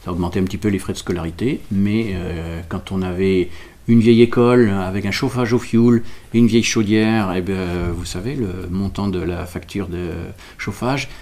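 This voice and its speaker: Low-voiced man explaining, searching for words. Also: fairly even intonation, normal speed, darkish tone. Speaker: local representative explaining a village school project. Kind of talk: explanatory interview answers.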